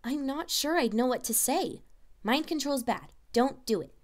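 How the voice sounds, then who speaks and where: Woman acting earnest, high-voiced young princess. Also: expressive, moderate pace. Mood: frustrated. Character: earnest, worried young pony princess in human form. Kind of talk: fan fiction audio drama.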